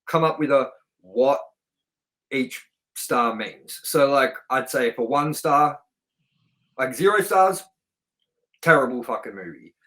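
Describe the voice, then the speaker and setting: A man's voice: mid-range voice, animated, slow pace, long pauses, sharp tone, rough recording. A man co-hosting a movie review show, chatting informally and bluntly, with some swearing. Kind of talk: casual review chat.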